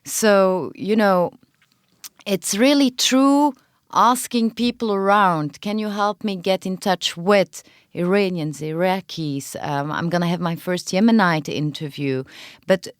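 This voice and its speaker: A woman playwright and film director, talking earnestly in an interview and pausing often to find her words. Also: mid-range voice, clear, resonant tone, no echo, studio quality. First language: not Hebrew. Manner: earnest, searching for words with frequent pauses. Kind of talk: podcast interview conversation.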